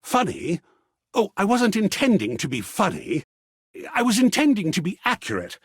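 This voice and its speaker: A man playing a grandiose, excitable living embodiment of art in a video game, husky and florid, with melodramatic theatrical delivery. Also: high-pitched, moderate pace, dry recording, clean studio sound, heavily acted. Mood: nervous, defensive. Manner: florid, melodramatic, swooping between hushed awe and exclamation.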